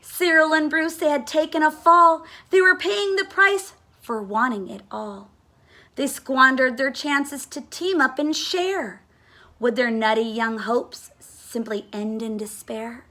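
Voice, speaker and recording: High, bright woman reading a storybook. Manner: dramatic, deliberate phrasing with emphatic words. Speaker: teacher reading a children's book aloud. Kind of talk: expressive storybook read-aloud.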